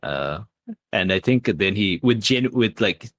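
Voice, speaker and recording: A man's voice: on the low side, expressive, measured pace, no room sound. A man narrating an improvised tabletop game casually, thinking aloud in a tentative way. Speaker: game host and player.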